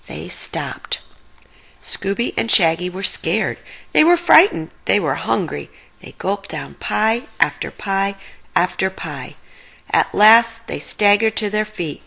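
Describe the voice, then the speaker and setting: Animated woman reading a children's story. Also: medium pitch, takes it slowly, gravelly.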